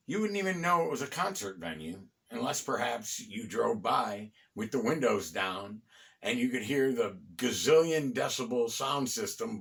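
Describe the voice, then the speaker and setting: A middle-aged American man hosting a conversational, storytelling history talk, phrasing deliberately with pauses and dropping in wry asides. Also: on the low side, a bit bright. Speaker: host and storyteller of a local history series. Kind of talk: conversational bedtime-story style history narration.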